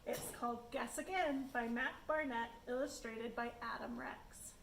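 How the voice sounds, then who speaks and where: A woman's voice: fairly high pitch, steady tone, a little slow, a bit bright. A woman reading a rhyming children's picture book aloud, addressing children and inviting guesses. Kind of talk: rhyming storybook read-aloud. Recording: echoey room, background noise.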